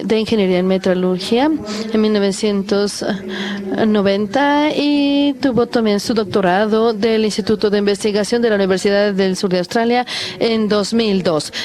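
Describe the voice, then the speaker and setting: Halting woman interpreting a technical talk. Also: mid-range voice. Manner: halting, with many pauses and restarts. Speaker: simultaneous interpreter at a conference.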